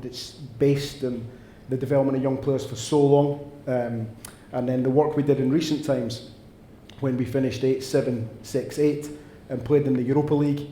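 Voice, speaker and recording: A Scottish man speaks on a panel at a fans' event, earnest and long-winded. His voice is dark and mellow and keeps to a narrow pitch range. The room is echoey, with a faint noise behind him. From Scotland. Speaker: football director on a panel. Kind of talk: panel answer at a fans' forum.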